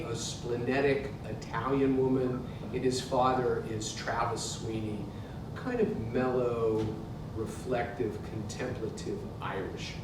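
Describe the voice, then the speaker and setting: A man in his sixties with an American accent, giving a literary reading to a live audience. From Pittsburgh, United States. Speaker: author reading from his book. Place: indoor museum event space. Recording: very echoey hall, distant mic, noisy rumble.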